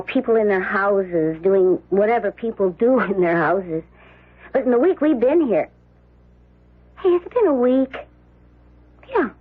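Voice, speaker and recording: A husky, flirty airheaded gold-digger. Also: female voice, a little high, heavily acted. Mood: casual. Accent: American. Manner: drawling, coquettish, artless. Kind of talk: old-time radio drama acting. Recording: tinny, narrow vintage radio broadcast.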